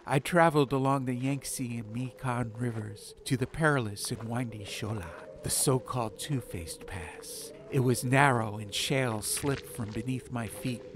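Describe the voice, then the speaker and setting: A male storyteller reads a fantasy tale in a calm, measured, hushed first-person voice at an ordinary pitch. A steady bed of ambient noise runs clearly beneath him. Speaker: audiobook narrator. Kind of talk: atmospheric storybook narration.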